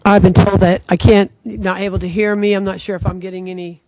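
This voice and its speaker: A woman's voice: mid-range voice, level tone, brisk, warm tone. Woman chairing a public meeting. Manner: formal, procedural, with fillers. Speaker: commission chair. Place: county meeting chamber. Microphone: table microphone.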